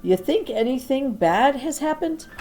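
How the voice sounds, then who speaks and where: A woman's voice: sits high, lively intonation, measured pace, dark tone, faint music behind, pitched above the performer's own voice. A woman reader playing a young schoolboy in a storybook who pretends to be king, unsure of himself and plain in his speech. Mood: uneasy. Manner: plain, informal child's talk.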